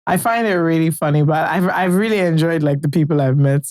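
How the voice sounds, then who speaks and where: A young Nigerian woman co-hosting a podcast, chatting casually with emphatic, animated delivery. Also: deep voice, brisk, no room sound, clean studio sound. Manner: animated, emphatic, swears and promises often. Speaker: podcast co-host. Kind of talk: casual chat.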